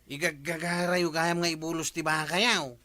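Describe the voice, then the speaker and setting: A man's voice: a little high, fairly even intonation, moderate pace, pushed and bright, dry recording. A man playing a nosy, reproachful village neighbour in a radio comedy drama, with an Ilocano accent and an insistent, questioning manner. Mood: accusing.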